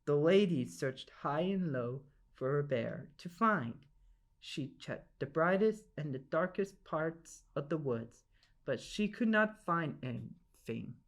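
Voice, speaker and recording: A woman's voice: low voice, lively intonation, slow. A woman narrating a children's storybook aloud in an enthusiastic, emphatic way. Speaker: storybook reader.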